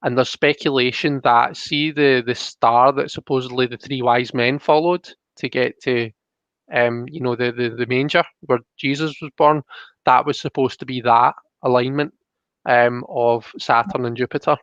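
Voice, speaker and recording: A young man chats as a live stream host, with an ordinary mid-pitched voice. He rambles and thinks aloud in a casual way. The sound is dry and slightly dull. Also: steady tone, moderate pace, fairly bright tone, dry recording. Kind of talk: casual chat, reading out viewer comments.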